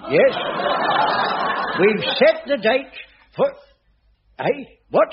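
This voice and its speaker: An actor playing a scheming, flustered older caretaker, hesitant and wheedling, in a radio sitcom. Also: male voice, very high-pitched, sing-song, extremely slow, a loud crowd, very noisy, heavily acted. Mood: nervous. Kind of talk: 1980s radio sitcom acting. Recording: muffled old radio recording.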